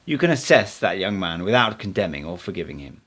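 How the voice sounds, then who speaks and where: A man's voice: sits low, sing-song, brisk, a bit bright, heavily acted. A man reading the part of an assured male teacher, speaking in a didactic, emphatic way in an audiobook. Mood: instructive. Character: Don Juan, assured male teacher, instructing and correcting.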